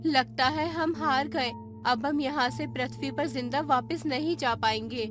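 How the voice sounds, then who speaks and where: A woman playing a determined, earnest space explorer in an animated story. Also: sits high, unhurried. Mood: despairing. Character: determined female space explorer leading her team. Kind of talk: acted story dialogue. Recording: music behind the voice. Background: music.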